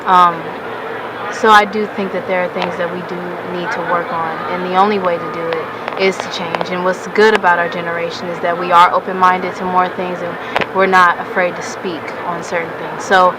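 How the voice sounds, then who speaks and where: An eighteen-year-old woman freshman answering interview questions earnestly and deliberately. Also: lowish voice, little pitch movement. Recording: distant mic, loud background noise.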